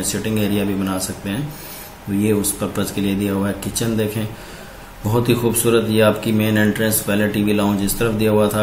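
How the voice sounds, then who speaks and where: A man from Pakistan walking through floor plans in a steady, explanatory voice-over, pointing out rooms one by one. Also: lowish voice, slight background noise. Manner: steady explanatory, repeating "ye dekhen" while pointing. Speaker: architect presenting house plans. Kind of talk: walkthrough narration of floor plans. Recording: roomy echo, faint ambience.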